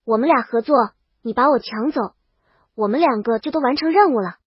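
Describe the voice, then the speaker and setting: A woman's voice: a little high, brisk, breathy edge, no room sound, muffled recording. A woman reading a scripted first-person comic story as its brash, exasperated heroine. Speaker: audio story narrator.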